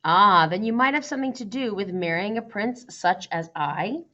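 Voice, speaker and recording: A patient young storybook prince , voiced by a woman reader. He is gracious and admiring, with a measured, gentle way of explaining. The sound is a little dull and lo-fi. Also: mid-range voice, lively intonation. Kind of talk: storybook character voice.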